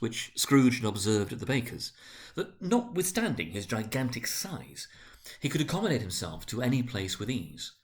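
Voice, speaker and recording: Very animated male storybook narrator. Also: medium pitch. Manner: expressive, theatrical. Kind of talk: dramatic storybook reading.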